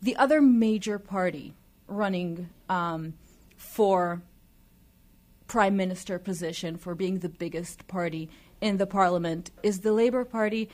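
A woman from Israel with an Israeli accent, speaking as an interview guest in a deliberate, emphatic, explanatory way. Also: medium pitch, a little mellow. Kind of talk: explanatory political discussion.

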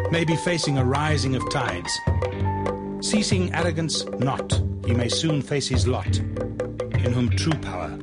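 A man delivers a dramatic spoken-word recitation in a mid-pitched voice. Prominent guitar music plays along with him throughout, in the manner of radio theatre verse. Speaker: radio show host and writer-performer.

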